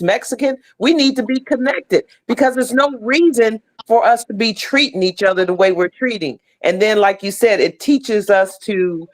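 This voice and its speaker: A middle-aged American woman speaking as an interview guest on a video call, heartfelt and emphatic, often repeating phrases. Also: medium pitch. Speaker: podcast guest, stage play cast member. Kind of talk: casual, heartfelt conversation.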